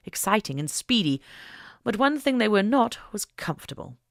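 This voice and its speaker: A woman reading scripted fiction as an audiobook narrator, in an expressive, deliberate storytelling manner. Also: on the low side, no room sound, studio quality.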